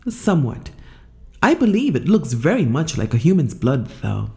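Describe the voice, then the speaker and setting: A woman's voice: low-pitched, sing-song, normal speed, creaky, warm tone, exaggerated voice. A woman playing a precise, unflappable circus aide in an audio drama, with a formal, matter-of-fact delivery. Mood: composed. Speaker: voice actor. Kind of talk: scripted audio drama acting.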